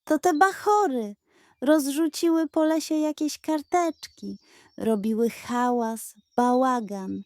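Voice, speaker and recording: Storybook turtle played by a woman: crotchety old forest male, huffy, growling, pitched up. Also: takes it slowly, darkish tone, no echo. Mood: angry, scolding. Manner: growling, huffing, indignant.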